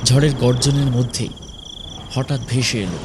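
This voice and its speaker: A man narrating a scripted horror story dramatically, in measured, suspenseful phrases with pauses. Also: mid-range voice, heavy background noise.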